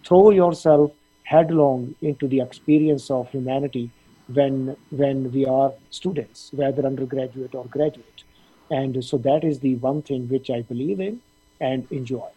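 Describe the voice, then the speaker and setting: Husky-voiced man. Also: medium pitch, mellow, low-quality recording. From India, Punjab. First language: Punjabi. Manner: thoughtful, measured, with frequent fillers. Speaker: dean of a veterinary faculty. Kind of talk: interview answers.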